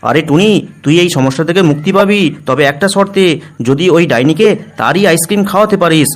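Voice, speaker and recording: A man's voice: on the high side, brisk, lightly acted. A man voicing an assured village mystic who gives advice in a cartoon tale. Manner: advising, assured. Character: village male mystic giving instructions, assured.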